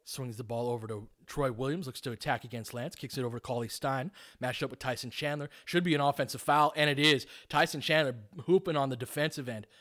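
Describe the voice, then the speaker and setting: A man's voice: medium pitch, fast, hardly any pauses, a bit bright. A man doing live basketball play-by-play, speaking in clipped, informal phrases and adding tactical analysis as he goes.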